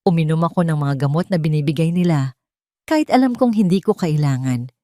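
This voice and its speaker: A woman with a Filipino accent narrating a dramatic first-person story in an expressive, scripted storytelling manner. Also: low-pitched, fairly quick, no room sound, studio quality.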